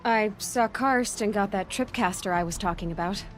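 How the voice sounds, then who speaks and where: Woman acting determined young game huntress, mid-pitched. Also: normal speed, lightly acted. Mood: determined, defiant. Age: young adult. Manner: earnest, resolute, direct. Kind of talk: naturalistic video game acting. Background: faint background music.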